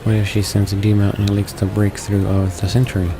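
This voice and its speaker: A man's voice: fairly low pitch, monotone, fairly quick, music in the background. A man reading visual novel text aloud as a let's play host, stumbling over words as he goes.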